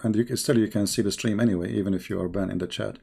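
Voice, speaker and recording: A man with an Arabic accent hosting a live-stream debate, insistent, repeating his questions in halting English. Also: fairly low pitch, steady tone, creaky, warm tone, husky. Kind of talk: heated call-in debate.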